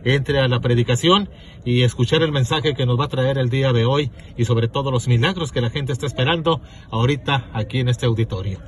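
A man hosts a live interview in an easygoing, devout manner. His voice sits at a middle pitch, and a faint ambient hum is heard behind him. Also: a little fast. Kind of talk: broadcast interview hosting.

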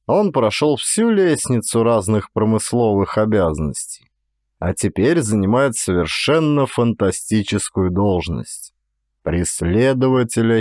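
Expressive male audiobook narrator. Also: fairly low pitch, no echo, studio quality. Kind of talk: expressive dramatic literary narration.

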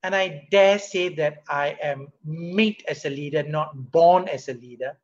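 High-voiced Singaporean man, muffled video call. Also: a little slow. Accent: Singaporean English. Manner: conversational, rhetorical questions, rises animatedly when making a point. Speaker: company CEO giving a leadership talk. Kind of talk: motivational online talk. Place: home or office room, webcam. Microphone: webcam or laptop mic on a video call.

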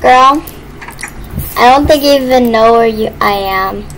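A woman's voice: a little high, a little slow, a bit bright, low-quality recording. A woman chatting casually while playing a video game. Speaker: gamer playing a role-play game. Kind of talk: casual gameplay chat. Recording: faint background noise.

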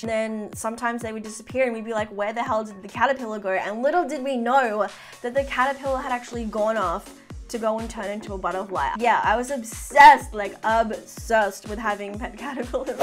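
A young woman in her twenties chatting casually to camera in a bedroom, bubbly and full of fillers. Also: mid-range voice. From half Filipino. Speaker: beauty vlogger. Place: indoor bedroom with fairy lights.

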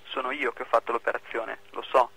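A man playing a secretive, commanding former prisoner in an amateur radio drama, calm and terse. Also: medium pitch, brisk, vocal fry, slightly rough, full character voice, low-quality recording.

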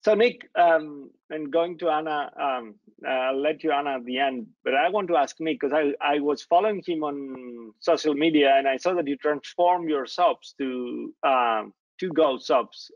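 A man from Greece hosts a video call interview, his voice gravelly and pitched mid-range. He talks haltingly, circling back with restarts and fillers. The call audio is dry and dull. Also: no room sound. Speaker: host. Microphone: laptop webcam mic on video call.

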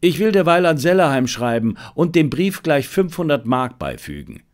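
A man voicing a confident gentleman amateur detective in an audiobook, self-assured and commanding, given to reflection. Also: on the high side, big pitch swings, moderate pace. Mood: urgent, hurried.

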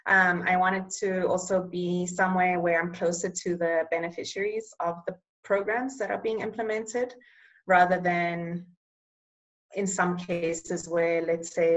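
A young woman from Eswatini whose first language is Bulgarian, working in public health, answering interview questions over a video call in a measured way, often pausing to find the right words. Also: on the low side, low-quality recording.

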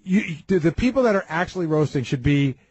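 Male radio host, slightly high husky voice, dry studio sound, easygoing explanatory chat, conciliatory with callers. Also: animated, a little fast, darkish tone, no room sound, highs cut off. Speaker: radio show host and producer. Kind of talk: casual talk radio chat.

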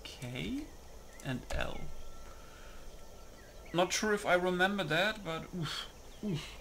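A man's voice: high-pitched, lively intonation, slow pace, vocal fry, sharp tone, a little hiss or hum. A man doing casual game commentary, muttering to himself as he reads settings aloud and comments loosely. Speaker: gamer recording a mod setup.

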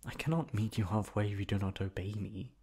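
A man's voice: fairly low pitch, brisk, mellow, slightly rough. A man playing a possessive, lonely young prince in an ASMR roleplay, speaking in formal, stilted phrases to the lover he holds captive. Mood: cold, commanding. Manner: formal, stilted diction, swinging from tender to commanding.

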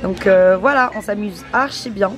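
A woman's voice: medium pitch, moderate pace, over music, crowd noise. A young woman in her twenties, chatty and upbeat, vlogging casually with a camera held at arm's length. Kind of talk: casual vlog chat to camera. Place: busy restaurant, later a car.

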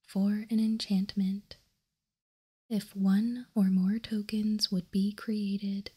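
A woman whispering softly and calmly in an ASMR style, explaining and reading out text as a host, close to the microphone. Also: medium pitch, slightly rough. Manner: soft, unhurried, calm explaining. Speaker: host of card-game ASMR channel.

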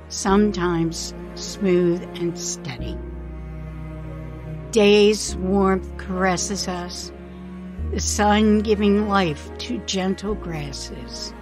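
A woman reciting her own poem with deliberate, measured phrasing. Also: mid-range voice. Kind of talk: poetry recitation.

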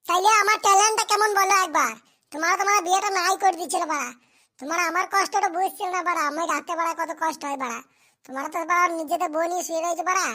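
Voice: extremely high pitch, very fast, sharp tone, no echo, clean studio sound. A performer voicing a cheeky, whiny, foul-mouthed young bridegroom in a slapstick comedy cartoon. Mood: whiny boasting.

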